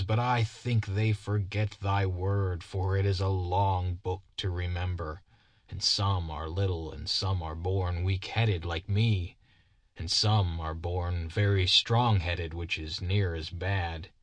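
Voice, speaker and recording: A man's voice: low voice, steady tone, slow pace, no room sound. A man voicing a haggard, simple-minded man who earnestly preaches doom and prays in biblical cadence. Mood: earnest prayer.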